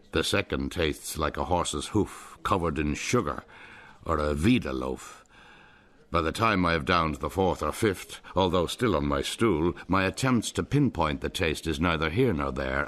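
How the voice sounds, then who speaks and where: Very deep Irish male narrator. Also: fairly bright tone. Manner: wry, ornate storytelling. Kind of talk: radio drama narration.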